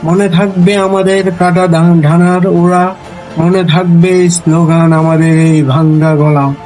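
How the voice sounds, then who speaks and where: Man reciting slowly over background music. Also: a little high, little pitch movement, darkish tone, rough recording. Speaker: poetry reciter. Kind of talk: solemn dramatic recitation of a protest poem.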